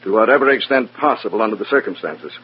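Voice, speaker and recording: A man's voice: on the low side, expressive, fast, lightly acted. A man playing a skeptical, formal official in a 1950s radio drama, speaking in a dry, clipped way. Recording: dull, noisy vintage radio recording.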